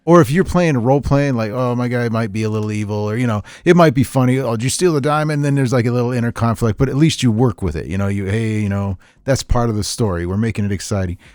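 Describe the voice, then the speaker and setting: A man's voice: mid-range voice, quick pace, few pauses, darkish tone, no echo, studio quality. A man hosting an unscripted podcast, talking casually in a rambling, opinionated way. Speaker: podcast host.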